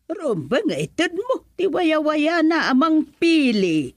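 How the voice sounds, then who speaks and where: A woman voicing a caring older aunt in an Ilocano radio drama, with an Ilocano Filipino accent and expressive interjections. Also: high-pitched, slow pace, dry recording, heavily acted. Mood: earnest, advising. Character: caring older aunt, advising her relatives.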